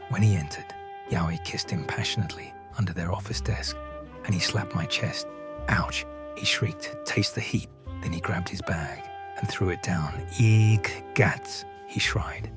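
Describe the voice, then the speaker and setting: Very deep theatrical man narrating over music. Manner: theatrical, moody, hushed in places. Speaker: guest narrator. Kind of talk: dramatic reading of a silly noir story. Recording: music playing behind the voice. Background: loud music.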